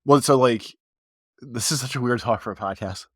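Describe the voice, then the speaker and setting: A man's voice: mid-range voice, normal speed, fairly bright tone, no room sound, clean studio sound. A man co-hosting a podcast in casual chat, stuffy from a cold, rambling with lots of fillers. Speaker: podcast co-host.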